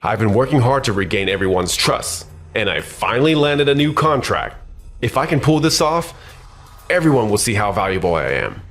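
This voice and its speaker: A man playing a self-serving, scheming office worker in an anime-style dub, excitable and easily flustered. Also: fairly low pitch, normal speed, heavily acted. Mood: determined. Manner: stammers when cornered, excitable. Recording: music bed behind the voice.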